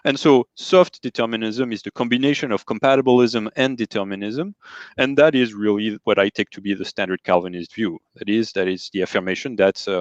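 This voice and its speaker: A man's voice: lowish voice, dry recording, muffled recording. A man from France with a French accent, a guest philosopher who explains ideas carefully, defining terms step by step. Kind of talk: careful explanatory interview talk.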